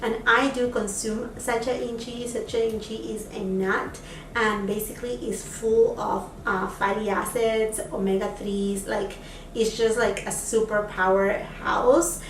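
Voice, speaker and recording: A woman's voice: mid-range voice, level tone, a little slow, slight background noise. A woman from Peru, a beauty vlogger, chatting casually through a makeup try-on with lots of fillers, recorded on a camera mic at a distance in a home room. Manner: chatty, lots of fillers, enthusiastic about products. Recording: echoey room, voice a bit off-mic.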